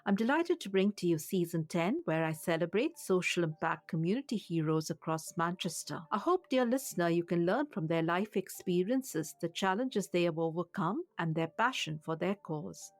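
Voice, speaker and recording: A woman with a Mancunian accent hosts a podcast interview in a slightly low voice. Her delivery is polished and scripted in the introduction, then turns to gentle questions. The close mic sounds dry, with no room around it. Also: expressive, normal speed, hardly any pauses, no room sound. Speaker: podcast host.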